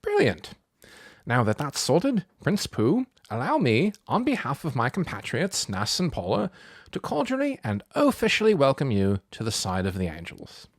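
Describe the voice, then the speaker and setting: Storybook role: polite, pedantic boy scholar played by a man, raised lively pitch, fussy gentlemanly wording. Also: normal speed, dry recording, studio quality. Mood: pleased, ceremonious. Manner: wordy, old-fashioned British gentlemanly phrasing. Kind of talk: storybook character voice.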